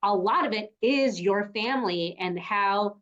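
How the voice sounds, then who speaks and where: A woman's voice: medium pitch, unhurried, fairly bright tone, lo-fi sound. A woman hosting a podcast interview, affirming and encouraging her guest. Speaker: podcast host. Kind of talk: interview conversation.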